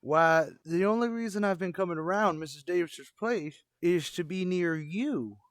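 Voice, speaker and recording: A man's voice: sits high, normal speed, no echo, studio quality, lightly acted. A man playing a smitten, stubborn old custodian with folksy, rustic turns of phrase in a radio comedy. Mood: smitten, confessing.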